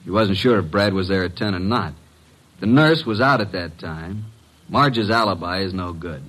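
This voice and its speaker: A tough, breezy legman from an old radio detective show. His voice is low and manly. He talks in brisk, slangy lines with a wisecracking edge. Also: fairly bright tone, low-quality recording. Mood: reporting briskly. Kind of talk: old-time radio detective drama acting.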